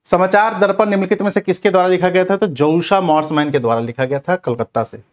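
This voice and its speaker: Exam coach: male, slightly high-pitched, instructive lecture delivery, posing questions and answering them, with frequent reminders. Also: fairly even intonation, quick pace. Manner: instructive, repeats check phrases like a classroom teacher.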